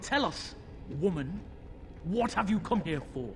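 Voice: mid-range voice, animated, measured pace, slight background noise. A performer playing a demon-possessed young boy in a fantasy game, haughty, mocking and commanding. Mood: petulant menace.

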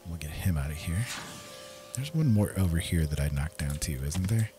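An easygoing man with a low voice commentates casually on a game. He muses to himself as he plays, with prominent game music running behind him. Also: animated, normal speed. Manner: easygoing, musing, talks to himself. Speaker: gameplay host. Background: game music and sounds.

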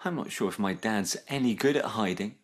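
A man's voice: on the low side, lively intonation, brisk. A man voicing a young boy crocodile in a children's story, wistful, hesitant and childlike. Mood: unsure, wistful. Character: young boy crocodile, wistful and unsure about his dad.

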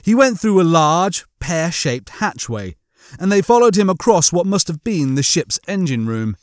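A man's voice: high-pitched, very animated, normal speed, no room sound, clean studio sound. A man narrating a comic science-fiction audiobook from a script.

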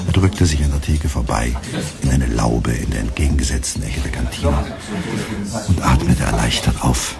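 An actor playing a weary male radio editor who narrates in a measured, precise, dry literary style. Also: a bass voice, creaky.

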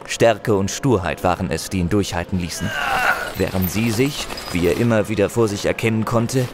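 A man's voice: on the low side, moderate pace, very noisy. A man narrating a fantasy audio drama as a dramatic scripted storyteller.

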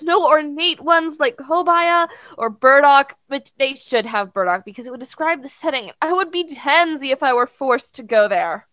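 A woman's voice: extremely high pitch, bright, forceful tone, no echo, exaggerated voice. A woman voicing a naive, defiant young noblewoman, dramatic and earnest in flowery speech, for an improvised fantasy podcast. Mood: dismayed.

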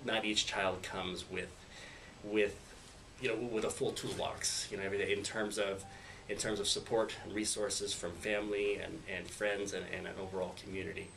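American man, thirties, fry-laden lowish voice, testimonial style. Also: flat delivery, moderate pace, fairly bright tone, slight background noise, rough recording. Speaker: elementary school principal. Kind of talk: interview testimonial. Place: office with whiteboard, indoors. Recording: clear music behind the voice.

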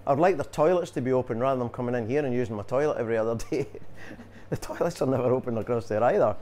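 An older man speaks bluntly, grumbling about local matters. He talks at a quick clip, in an indoor bar. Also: medium pitch, mellow, a little hiss or hum. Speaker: local business owner.

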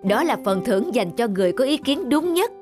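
A woman voicing a commanding, fair-minded king in an animated folktale, speaking deliberately and regally. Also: a little high, soft background music. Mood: approving. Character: king, adult man, imperious yet fair-minded ruler.

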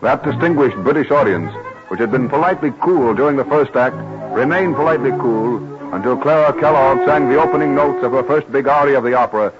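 A man's voice: mid-range voice, brisk. A man narrating a vintage radio drama from a script in 1940s broadcast style. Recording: dull old radio recording. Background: orchestral music with strings.

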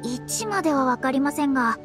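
A woman voicing a proud, composed young woman astrologer in a game, speaking politely and formally with quiet self-assurance. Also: high voice, expressive, fairly quick, over music. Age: young adult. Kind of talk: game voice acting.

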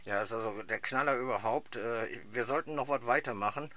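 A man's voice: medium pitch, brisk, noisy background. A man chatting casually as a radio amateur over a radio link. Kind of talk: casual two-way radio chat.

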